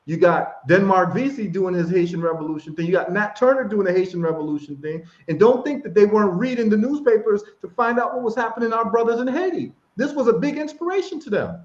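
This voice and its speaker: A man's voice: high voice, fast talker, few pauses. A man from Ghana, a guest in a casual chat, talking with passion and repeating phrases for emphasis. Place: live stream call. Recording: echoey, dull lo-fi stream audio.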